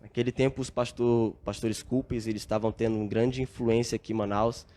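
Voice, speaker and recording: A young Brazilian man recounts his past in an earnest, reflective tone. He speaks at an ordinary pitch and often drops small filler tags as he goes. It sounds like a relaxed podcast interview. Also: brisk. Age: young adult. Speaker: podcast guest. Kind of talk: casual storytelling interview.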